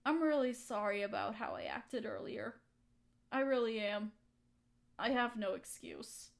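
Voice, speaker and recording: A woman acting a hurt young woman softening toward her best friend, in an intimate roleplay audio drama, emotional and halting. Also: on the high side, gravelly. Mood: apologetic, soft.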